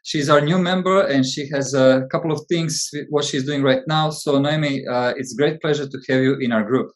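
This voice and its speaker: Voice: medium pitch, moderate pace. Hesitant interview host. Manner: hesitant, many uh fillers. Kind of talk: interview questions.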